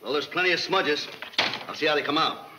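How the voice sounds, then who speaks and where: A man's voice: fairly high pitch, brisk, lightly acted. Noisy old-film police lab man played by an actor. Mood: matter-of-fact. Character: matter-of-fact male police lab technician. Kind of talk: 1940s movie serial acting.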